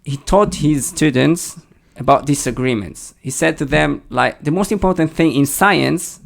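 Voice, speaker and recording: A man's voice: a little high, a little slow, gravelly. A young man with a Hebrew accent tinged with British English, co-hosting a casual podcast discussion, thoughtful and hesitant, often restarting his sentences. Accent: Hebrew-accented English with a slight British tinge. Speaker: podcast co-host.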